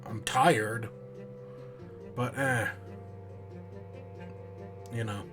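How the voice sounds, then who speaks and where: A man with a head cold, his nose stuffed and his throat raspy, chats offhandedly while he plays a game on stream. He rambles and pokes fun at himself in an ordinary mid-range voice. Faint music plays softly behind him. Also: mid-range voice, fairly even intonation, extremely slow. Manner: rambling, offhand, self-mocking. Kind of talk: casual chat while playing a game.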